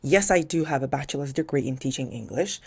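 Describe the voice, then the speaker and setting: A woman's voice: brisk, gravelly, breathy edge. A woman from Ukraine speaking English as a second language, telling her own story in a chatty vlog. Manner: chatty and lively, with sudden rises in pitch for emphasis. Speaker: vlogger, English teacher.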